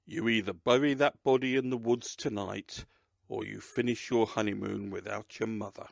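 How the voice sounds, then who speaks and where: A man's voice: lowish voice, fairly even intonation, normal speed, gravelly, no echo, studio quality. A man hosting a podcast, talking informally about books in a presenter's manner. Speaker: podcast host and crime writer. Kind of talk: chatty book talk and scripted narration.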